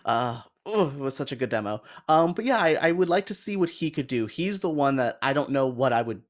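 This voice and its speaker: A man's voice: medium pitch, lively intonation, fairly quick. Rambling man in casual geeky chat. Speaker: panelist on a film and gaming talk show.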